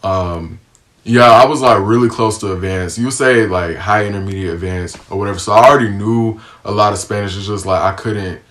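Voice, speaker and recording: Laid-back low-voiced American man. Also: fairly quick, fairly bright tone. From United States. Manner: laid-back, slangy, lots of ums. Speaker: vlogger. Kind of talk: casual storytime.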